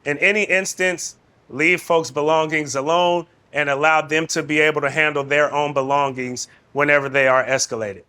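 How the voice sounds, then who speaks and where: A man speaks as a trainer in an instructional film. His voice sits a little high and has a very bright, forceful edge as he lays out guidance. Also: fairly even intonation, moderate pace, smooth. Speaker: de-escalation trainer.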